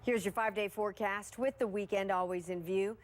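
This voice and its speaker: A woman's voice: medium pitch, steady tone, fairly quick, a little hiss or hum. A woman with an American accent presenting a weather forecast on television.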